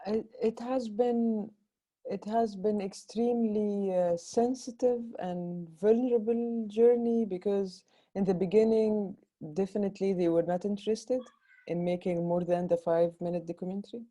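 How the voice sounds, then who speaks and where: A Sudanese woman film director with a slight Egyptian-tinged Arabic accent, answering questions in a fast, halting way full of restarts. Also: medium pitch, steady tone, mellow. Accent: Egyptian-influenced Sudanese Arabic. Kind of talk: festival Q&A conversation.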